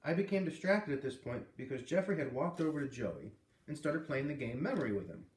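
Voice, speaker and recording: Expressive man reading a storybook aloud. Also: a little high, a little fast. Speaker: read-aloud reader. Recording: echoey room, distant microphone.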